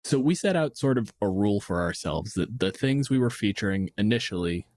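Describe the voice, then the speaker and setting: Blunt, sweary man with a lowish voice. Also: animated, brisk, darkish tone, no room sound, clean studio sound. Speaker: podcast co-host. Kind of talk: casual opinionated tabletop gaming chat.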